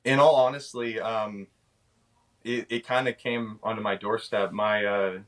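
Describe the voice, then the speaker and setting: A man's voice: lowish voice, steady tone, unhurried, fairly bright tone. A man in his twenties with a Canadian accent, giving casual interview answers and often searching for his words. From British Columbia, Canada. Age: 20s. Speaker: actor, interview guest.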